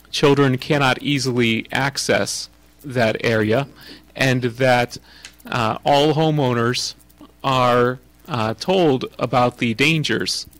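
A man chairing a city council meeting, formal and measured, with frequent pauses. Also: medium pitch, a bit bright, no room sound. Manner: formal, measured, many uh fillers.

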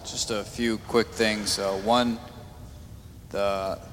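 Low, flat-voiced councilman in echoey chamber. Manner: halting, with many fillers and restarts. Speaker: city councilman. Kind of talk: public meeting remarks. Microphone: meeting microphone. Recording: echoey chamber, faint noise.

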